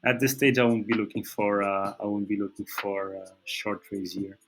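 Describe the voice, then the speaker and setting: Portuguese guy going over stock charts, kinda low voice, lots of uhs and restarts. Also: flat delivery, normal speed, background noise. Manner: hesitant, many fillers and restarts. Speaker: trading channel host. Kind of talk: screen-share market analysis.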